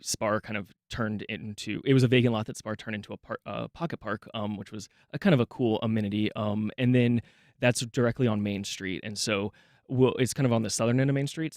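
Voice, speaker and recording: A man speaking as a guest on a radio talk show, conversational, often pausing with um and restarting. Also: lowish voice, no echo, studio quality. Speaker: guest, neighborhood nonprofit director. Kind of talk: radio interview.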